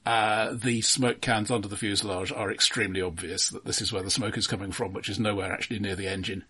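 A man's voice: fairly low pitch, fairly even intonation, fast talker, sharp tone, breathy edge. A man co-hosting a film podcast, chatting casually in a hesitant, pedantic, detail-minded way. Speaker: film discussion podcast co-host.

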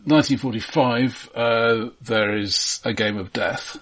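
A man co-hosting a film podcast, talking casually in a halting but precise, explanatory way. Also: mid-range voice, fairly bright tone.

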